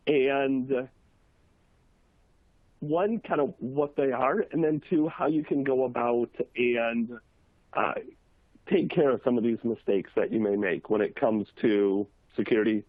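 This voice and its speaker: Hoarse man presenting a webinar. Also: mid-range voice, no room sound. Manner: relaxed, conversational, with frequent fillers. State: hoarse from a cold. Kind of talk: webinar talk with slides. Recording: tinny phone-like line, dry.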